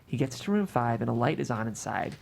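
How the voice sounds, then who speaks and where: A narrator reads screenplay stage directions at a table read. The voice sits at a medium pitch and moves with lively, wide inflection through the scripted action. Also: a little fast, gravelly, background noise.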